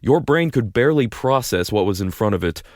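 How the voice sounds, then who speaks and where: A man's voice: medium pitch, expressive, fairly quick, a little mellow, no room sound, clean studio sound. A man narrating a fan audio drama in the second person, casual and wry.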